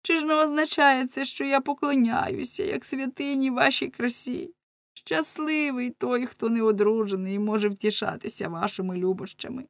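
A woman's voice: deep voice, normal speed, gravelly, hardly any pauses, a little mellow, no room sound. A woman voicing a big, timid, faithful husband in a storybook, stubborn and plaintive, with a pleading manner. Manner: pleading, whining.

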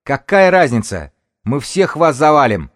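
A man voicing a cocky, jeering young lad in a gang, shrill and loud, in an audiobook story. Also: sing-song, a little fast, no echo, studio quality. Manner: crude, taunting, loud.

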